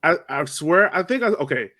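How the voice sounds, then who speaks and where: A man's voice: on the high side, animated, moderate pace, pushed and bright. A young man in casual sports chat as a podcast host, slangy and joking in his delivery.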